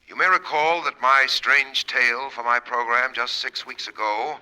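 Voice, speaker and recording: A piercing, ominous radio storyteller. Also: male voice, medium pitch, steady tone, moderate pace, bright, forceful tone, clean tone, poor audio. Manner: ominous, declamatory. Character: dramatic male radio storyteller of eerie tales. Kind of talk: 1940s radio announcer narration.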